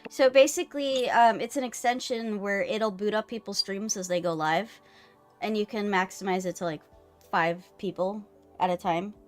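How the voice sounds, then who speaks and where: A woman's voice: mid-range voice, moderate pace. Playful woman chatting on a gaming stream. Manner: playful, teasing. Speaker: live streamer. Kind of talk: casual chat on a gaming live stream. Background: music.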